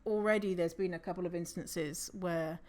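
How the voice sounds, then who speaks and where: A non-binary podcast host with a low voice, talking in a casual, rambling solo monologue with fillers, self-corrections and casual swearing. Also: fairly even intonation, normal speed, a little mellow. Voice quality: low voice for a woman's range.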